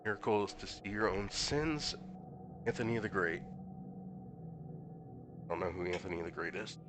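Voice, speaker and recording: Hoarse male streamer reading game dialogue. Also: lively intonation, moderate pace. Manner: casual, sarcastic commentary with sudden high-pitched incredulous outbursts. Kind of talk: live stream reading a visual novel aloud. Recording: music playing behind the voice.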